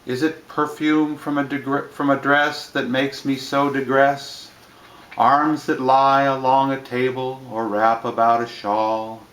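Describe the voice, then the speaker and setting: A man reading poetry plainly in a measured, phrase-by-phrase delivery, with line endings that trail off. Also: medium pitch, a bit bright, roomy sound, low-quality recording. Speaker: reader of poetry.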